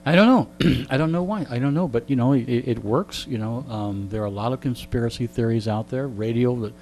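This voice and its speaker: A man's voice: mid-range voice, fairly quick, darkish tone, slight background noise. A man talking casually as a radio interview guest, telling anecdotes with a wry, joking manner. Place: radio studio.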